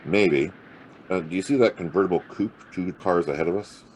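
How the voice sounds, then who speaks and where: Man playing a very low-voiced hard-boiled detective. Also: moderate pace, darkish tone, a little hiss or hum, close to an everyday voice. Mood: wry, casual. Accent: American. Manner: sardonic, wry, clipped. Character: hard-boiled, wisecracking male private detective, narrating his case. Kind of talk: noir audio drama acting and narration.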